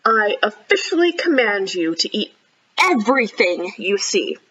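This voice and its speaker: A woman children's librarian reading a picture book aloud expressively, with animated, encouraging chatty asides to young listeners. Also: medium pitch, a little slow, slightly rough, lo-fi sound. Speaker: children's librarian hosting storytime.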